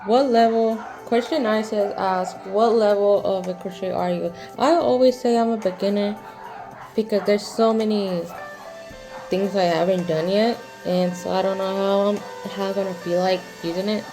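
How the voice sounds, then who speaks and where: A woman in her twenties hosting a casual crochet Q&A vlog, talking in a halting, rambling way with lots of fillers and restarts. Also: medium pitch, fairly even intonation, music in the background, poor audio. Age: 20s. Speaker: crochet vlogger.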